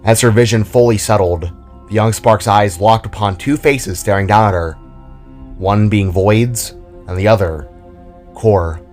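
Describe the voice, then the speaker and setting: A man with a fairly low voice narrates a scripted fantasy story. Faint music plays behind his reading. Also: a little slow, darkish tone.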